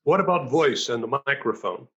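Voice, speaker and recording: A man hosting a talk show over a video call, thanking guests and announcing upcoming shows, talking haltingly with many fillers. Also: mid-range voice, expressive, fairly bright tone, slightly rough, lo-fi sound.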